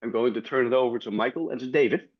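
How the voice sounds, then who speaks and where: A man's voice: medium pitch, fast talker. A man hosting a webinar opening, speaking hesitantly with many fillers. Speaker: local host. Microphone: video call. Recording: dull lo-fi call audio.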